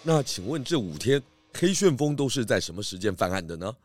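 A man playing a dependable, slightly weary pig detective in a children's audio drama, calm and businesslike. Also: medium pitch, a little fast, soft background music, close to an everyday voice.

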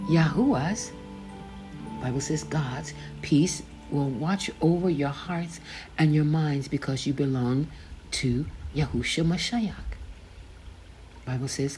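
An older woman reading her own faith memoir aloud, slowly and deliberately, pausing to gloss the names in scripture. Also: sits low, rough recording. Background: background music.

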